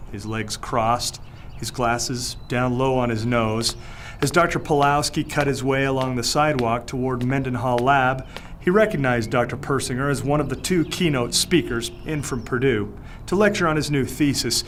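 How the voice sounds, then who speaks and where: A man reading his own thriller story aloud, in a measured, even storytelling manner. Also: mid-range voice, a little hiss or hum. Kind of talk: scripted narration of a thriller audiobook.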